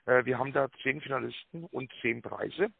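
A man giving a rehearsed telephone sales pitch, full of tag words and hesitations, with a raspy voice. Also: moderate pace, no echo. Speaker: telemarketing caller pitching a prize draw. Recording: narrow tinny phone line.

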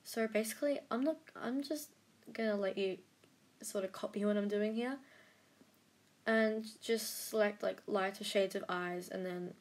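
A woman's voice: mid-range voice, measured pace. Hesitant young woman rambling through a tutorial. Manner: rambling, self-deprecating, hesitant with many fillers. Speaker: editing tutorial creator. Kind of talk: casual screen-recorded tutorial.

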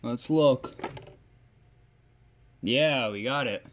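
A man's voice: mid-range voice, drawn-out words, a little hiss or hum. A man giving casual repair commentary as a hobbyist, rambling and self-deprecating as he thinks aloud. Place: indoor workshop bench.

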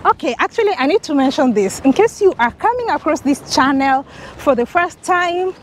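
A woman's voice: high-pitched, expressive, moderate pace, slight background noise. Chatty Kenyan woman vlogging outdoors. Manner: chatty, enthusiastic, talking to the camera while walking. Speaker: travel vlogger. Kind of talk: walking travel vlog. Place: outdoors on a sunny residential street. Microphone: action camera held at arm's length.

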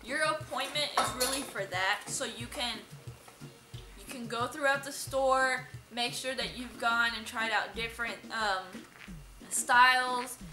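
Young woman, higher pitch, casual chatty host, explaining tips, echoey showroom, music in background. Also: measured pace. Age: young adult. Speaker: host, quinceañera choreographer. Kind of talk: casual instructional vlog chat. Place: large indoor dress boutique showroom, seated on a sofa. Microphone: camera mic a few metres away. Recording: roomy echo, camera mic at a distance.

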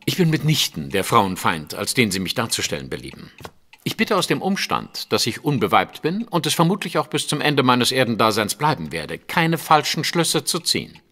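An actor playing a proud, fastidious gentleman detective in a radio play, speaking with pompous, precise formality. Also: medium pitch, sing-song, full character voice. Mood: indignant, haughty. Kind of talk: audio drama acting.

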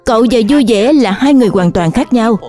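A woman voices a scientist who mentors young cartoon heroes. The delivery is kind and reassuring, in a high voice. Light music plays underneath. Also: expressive, fairly quick, mellow, natural voice. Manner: reassuring, encouraging. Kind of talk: dubbed children's cartoon acting.

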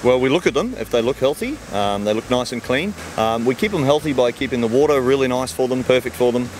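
A man's voice: mid-range voice, expressive, fast talker, a little mellow, slight background noise. A middle-aged Australian man, a fish farmer, answering questions in an easygoing way outdoors by the ponds, speaking into a handheld mic. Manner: easygoing, explains simply with everyday comparisons. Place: outdoors beside farm ponds. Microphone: handheld mic held by interviewer.

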